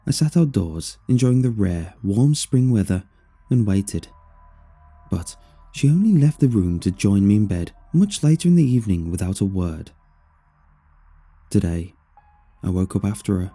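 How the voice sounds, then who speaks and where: A man narrating a scripted first-person horror story in a measured, expressive way over music. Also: fairly low pitch, husky. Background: faint music.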